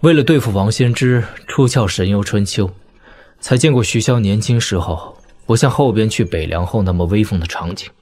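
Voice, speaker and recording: An actor playing a weary, tender young lord and elder brother in an audio drama, speaking in a measured, reflective way. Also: male voice, on the low side, a little mellow, close to an everyday voice. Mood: sorrowful, reflective. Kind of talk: audio drama dialogue in Mandarin wuxia serial.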